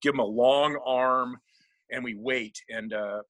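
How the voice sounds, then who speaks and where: A man's voice: medium pitch, steady tone, unhurried, a bit bright. A middle-aged man from Seattle, a guest telling rambling, self-deprecating stories in a casual interview and laughing often. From Seattle, USA.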